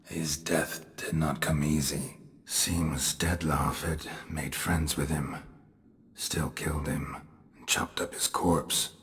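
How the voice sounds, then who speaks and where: An actor playing a gruff, laconic monster hunter in a video game, with a gravelly, hushed voice and deadpan, clipped delivery. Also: male voice, rumbling low, takes it slowly, heavily acted. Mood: grave, pensive. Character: gruff, laconic male monster-hunting mercenary, world-weary and unflappable. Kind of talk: video game cutscene acting.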